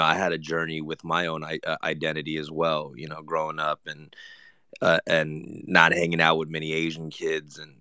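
A man speaking casually on a panel, halting and full of fillers as he talks things through. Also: rumbling low, pushed and bright, smooth, no echo. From Argentina. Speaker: panelist, rapper. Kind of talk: panel discussion.

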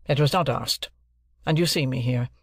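A man's voice: medium pitch, normal speed, creaky, fairly bright tone, dry recording, studio quality. A man voicing a brusque, stern middle-aged lawyer who speaks in curt, clipped, commanding sentences. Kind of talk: audiobook character voice.

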